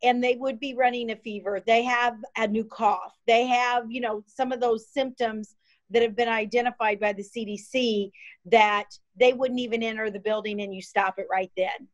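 A woman's voice: a little high, fairly even intonation, moderate pace, lo-fi sound. A woman from the health department explaining contact tracing and staff screening over a video call. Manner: practical, explanatory.

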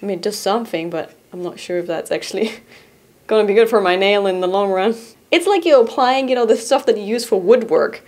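A young woman hosting a casual product review to camera in a small studio, bubbly and giggly, sometimes easing into a softer, relaxed tone. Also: mid-range voice, brisk. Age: young adult. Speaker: host presenting shop items. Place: small indoor studio room. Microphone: boom-arm studio mic in front.

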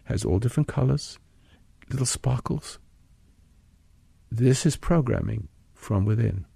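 A man leading a guided meditation with plain-spoken, measured instructions, often pausing mid-sentence. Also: mid-range voice, animated, creaky, warm tone, slightly rough, no room sound.

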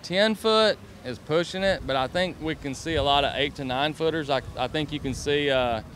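A man's voice: a little high, normal speed, a bit bright. A man answers interview questions outdoors in a hesitant, thinking-aloud manner. He speaks into a handheld mic. A faint outdoor hum runs underneath. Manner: hesitant, musing. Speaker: professional angler. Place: outdoors by boats. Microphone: handheld mic held by interviewer.

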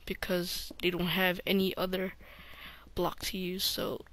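A woman's voice: fairly low pitch, completely flat delivery, unhurried, a bit bright. A woman hosting a game playthrough, rambling and often dropping to a mumble. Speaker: let's play host. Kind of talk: gameplay commentary.

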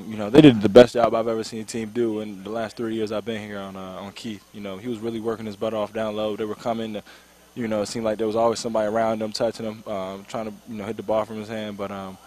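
A man's voice: fairly low pitch, a little mellow, a little hiss or hum. A young man in his twenties, a college basketball player on a headset mic at a courtside table in an arena, talking after a game with frequent ums. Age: 20s. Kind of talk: postgame interview.

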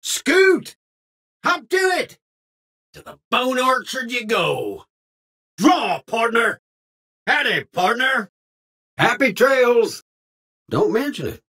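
An actor playing a folksy, friendly cowboy robot in a video game, with an American Western drawl and theatrical Old West slang. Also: male voice, very high-pitched, sing-song, slow pace, long pauses, pushed and bright, exaggerated voice. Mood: rowdy, excited. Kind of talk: video game character acting.

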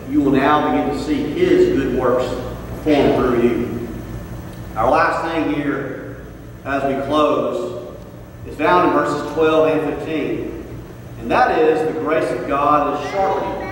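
Slow-preaching pastor in an echoey hall. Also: male voice. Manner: preaching with repeated lines and pitch swells. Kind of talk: sermon. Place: large church sanctuary, at a pulpit. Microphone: far camera, pulpit microphone. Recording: echoey hall from far off, faint noise.

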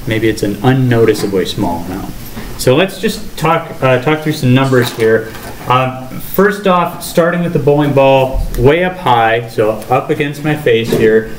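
A young male teacher talks a class through a physics lesson at a whiteboard, asking questions as he goes. His voice sits at an ordinary pitch and rings around a bare classroom, picked up by a camera across the room with a faint hiss underneath. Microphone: far camera across the room. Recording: echoey classroom, faint noise.

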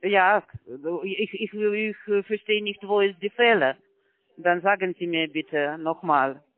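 A man on a call-center line, his voice high and his delivery slow, careful and halting. He speaks German with non-native grammar and repeats everything back. The sound is tinny and dry, squeezed through a phone. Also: fairly even intonation, no echo.

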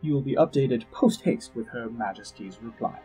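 A man playing a suave, haughty elf diplomat in a fantasy audio drama, with measured, arch, dryly witty delivery. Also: mid-range voice, warm tone, lightly acted, poor audio. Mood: measured, grave. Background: background music.

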